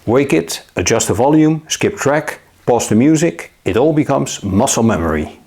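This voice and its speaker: Man, tech review narration, gravelly, husky, lively pitch. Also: normal speed. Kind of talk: scripted product review narration.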